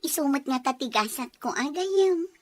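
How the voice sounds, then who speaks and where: A woman's voice: high-pitched, expressive, moderate pace, no echo. A woman playing a cheerful, generous married friend in an audio drama, chatty, with an Ilocano accent. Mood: friendly, generous. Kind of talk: acted family radio-style drama dialogue.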